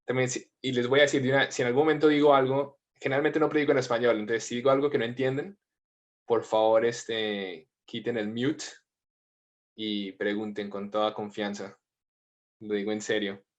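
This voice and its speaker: A priest in his thirties with a mixed Latin American and Spanish accent, praying and then talking gently and informally over a video call. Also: medium pitch, level tone, normal speed, lo-fi sound. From United States, family from Colombia. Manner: gentle, informal, with frequent fillers.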